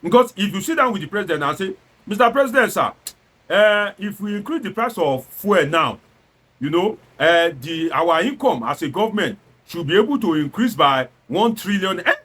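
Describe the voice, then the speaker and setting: Man, Nigerian accent, high voice, slightly husky, satirical video commentary, exclamatory, repeats himself, mixes in Pidgin. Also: expressive, moderate pace, fairly bright tone. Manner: theatrical, exclamatory, full of rhetorical questions and repetition; slips between standard English and Nigerian Pidgin. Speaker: online political commentator. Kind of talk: informal satirical political commentary to camera.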